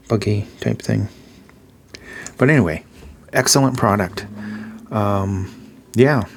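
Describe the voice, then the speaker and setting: Gravelly low-voiced man with Massachusetts accent. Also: slight background noise. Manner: filler-heavy, repeats praise words. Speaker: audio gear reviewer. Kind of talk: casual product review.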